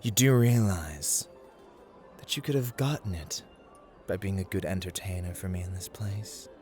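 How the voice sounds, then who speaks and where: A man's voice: low-pitched, normal speed, darkish tone, soft background music, close to an everyday voice. A man playing a haughty, playful god of luck in an ASMR roleplay, speaking in a theatrical, teasing, formal way that drifts into whispers. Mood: amused, curious. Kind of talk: ASMR roleplay, scripted dramatic monologue.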